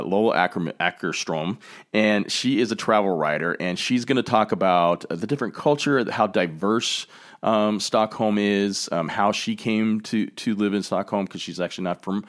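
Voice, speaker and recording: A very deep-voiced American man hosts a relaxed travel conversation. He rambles a little, circling back with fillers and restarts. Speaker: podcast host. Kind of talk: casual travel chat.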